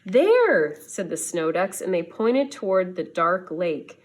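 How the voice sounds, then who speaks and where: A woman reading a children's story aloud like a schoolteacher, with expressive, dramatic storytelling. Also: fairly low pitch, unhurried, a little hiss or hum.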